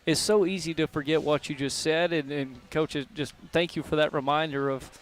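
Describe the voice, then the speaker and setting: A man's voice: on the high side, steady tone, moderate pace, slight background noise. A man hosting a live sports radio show from a restaurant, asking long, friendly questions. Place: restaurant broadcast.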